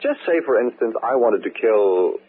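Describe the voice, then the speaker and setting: An actor playing a breezy, needling husband with casual menace, in theatrical 1940s radio mystery style on a tinny old recording. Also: male voice, fairly low pitch, lively intonation, moderate pace, a little hiss or hum, close to an everyday voice. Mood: breezy, teasing menace.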